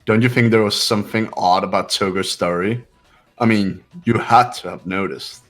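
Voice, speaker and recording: A man's voice: lowish voice, moderate pace, fairly bright tone, soft background music, lightly acted. A man voicing an arrogant, cold rich young man who talks down to everyone, in a fan voice-acted game. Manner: cold, condescending.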